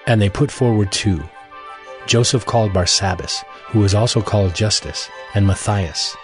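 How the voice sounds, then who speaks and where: Low-voiced male scripture narrator over music. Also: normal speed.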